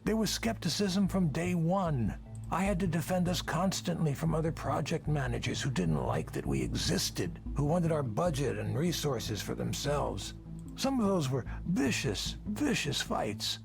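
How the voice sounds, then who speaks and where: A self-justifying male project leader in a video game. His delivery is theatrical and very lively, with a gravelly creak in the voice. He argues emphatically and persuasively over background music. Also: a little high, measured pace, heavily acted. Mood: defensive, indignant. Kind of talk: visual novel voice acting.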